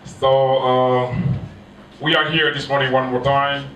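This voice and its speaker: A man from Haiti, a pastor preaching in short phrases that pause for his interpreter, with grammar. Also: mid-range voice, flat delivery, crowd noise. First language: Haitian Creole. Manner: speaks in short phrases with pauses for translation, non-native grammar. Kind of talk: sermon delivered through an interpreter. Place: church hall. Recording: echoey church hall, mic off at a distance.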